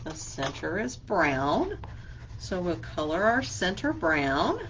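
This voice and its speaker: A woman's voice: on the low side, sing-song, slow, a bit bright, a little hiss or hum, rough recording. A woman librarian leading a children's craft lesson, speaking patiently and asking simple questions as a teacher would.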